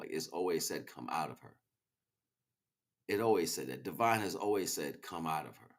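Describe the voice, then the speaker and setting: Low-voiced man in a rambling livestream monologue. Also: pushed and bright. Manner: slangy, rambling, preachy, chatting back to viewers. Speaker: livestream host. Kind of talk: casual impassioned livestream monologue.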